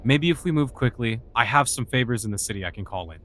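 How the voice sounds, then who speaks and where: A man's voice: medium pitch, expressive, quick pace, a bit bright, lightly acted. A man playing a cynical, sarcastic human outcast in a fantasy audio drama. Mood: guarded. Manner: sarcastic, mocking.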